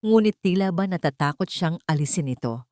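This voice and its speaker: A woman narrating a scripted dramatic story in a measured, solemn manner. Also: lowish voice, expressive, darkish tone, no room sound, studio quality.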